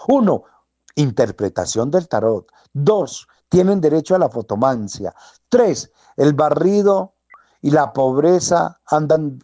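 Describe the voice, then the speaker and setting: A man hosting a radio show, rattling off a sales pitch for tarot readings and cleansings, repeating addresses and prices and blessing his listeners. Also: mellow, dry recording. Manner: insistent, repetitive, blessing the listeners. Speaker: radio host selling esoteric services.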